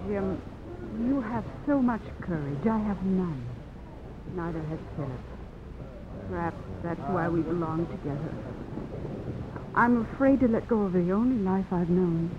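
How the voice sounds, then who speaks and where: A woman's voice: fairly low pitch, unhurried, pauses often, natural voice. A woman playing a wistful, fearful society lady in a 1950s radio melodrama, earnest in delivery. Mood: resigned, sad. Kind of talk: 1950s radio drama acting. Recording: muffled, noisy old radio broadcast.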